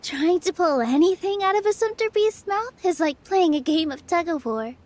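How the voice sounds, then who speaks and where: An actress playing a gentle, playful young woman in a video game, with a light, politely teasing manner. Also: very high-pitched, expressive, normal speed, a little hiss or hum. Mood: amused. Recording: music under the voice.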